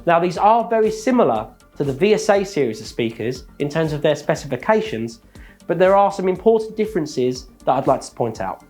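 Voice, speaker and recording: A man's voice: fairly high pitch, very animated, a little mellow. Methodical man presenting gear over faint music. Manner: measured, methodical listing of features. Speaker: product presenter. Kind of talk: scripted product walkthrough.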